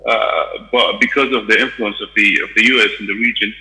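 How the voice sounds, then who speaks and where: Male panelist, lower pitch, sharp bright tone, careful hesitant speech. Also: steady tone, rough recording. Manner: measured, hesitant with fillers. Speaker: political panel speaker. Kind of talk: conference speech.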